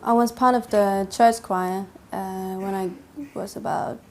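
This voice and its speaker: A woman's voice: mid-range voice, fairly even intonation, a little slow, a little mellow. Hesitant woman telling her story. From Denmark. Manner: hesitant, many fillers and restarts. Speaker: interviewee. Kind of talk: personal testimony interview.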